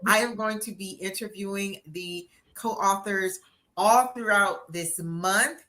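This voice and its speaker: A woman in her sixties hosting a live-stream interview, energetic and encouraging with a motivational push. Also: mid-range voice, slow pace. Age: 60s. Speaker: interviewer and book coach.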